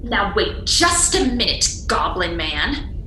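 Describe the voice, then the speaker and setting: A woman's voice: mid-range voice, very animated, slow pace, sharp tone, heavily acted. A woman playing a sassy, bold little fairy-tale girl in a radio play, brash, cheeky and defiant. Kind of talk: irreverent radio play acting. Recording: roomy, faintly noisy recording.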